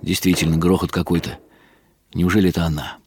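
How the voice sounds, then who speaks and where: A man's voice: deep voice, level tone, a little fast, very noisy, natural voice. An actor playing a weary, wry middle-aged writer who narrates his own story in ironic inner monologue for a radio drama. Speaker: narrator and lead character.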